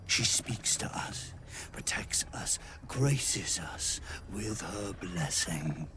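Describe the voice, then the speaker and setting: An actor playing a fawning, unhinged cultist in a video game, halting and servile, often slipping into whispers. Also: male voice, low-pitched, animated, pushed and bright, exaggerated voice. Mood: reverent, fawning. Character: fawning, unhinged male cultist devoted to his unseen queen. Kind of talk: dramatic video game acting.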